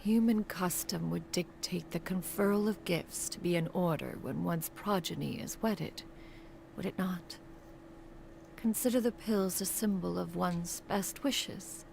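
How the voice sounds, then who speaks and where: A woman's voice: on the low side, unhurried, vocal fry, darkish tone, a little hiss or hum, poor audio. A woman voicing a proud, motherly immortal crane master in a video game, speaking in stately, formal, archaic phrases. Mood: dignified, tender. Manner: stately, archaic, calls herself "one".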